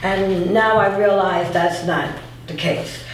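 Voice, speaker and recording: A man's voice: high voice, fairly even intonation, slow pace. A man talking casually and reflectively in a discussion. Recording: very echoey room, distant, faint noise.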